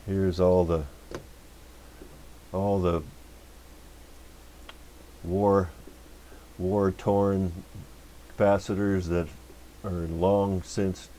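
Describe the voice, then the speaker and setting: A man with a deep voice and a dark, relaxed tone hosts a casual workbench demonstration. He talks informally and folksily, rambling along as he explains things. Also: slight background noise. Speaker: host of a radio repair channel.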